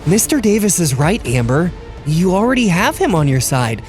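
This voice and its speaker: A man playing a calm, calculating aide in an audio drama, speaking persuasively. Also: high-pitched, moderate pace, faint music behind. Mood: coaxing.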